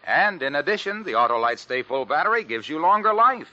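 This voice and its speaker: An American man delivers an old-time radio commercial with emphatic salesmanship. His voice is highish, forceful and swoops with enthusiasm, captured on a dull vintage recording. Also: sing-song, a little fast. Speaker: commercial announcer. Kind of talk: 1950s radio sales pitch. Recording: muffled 1950s radio recording.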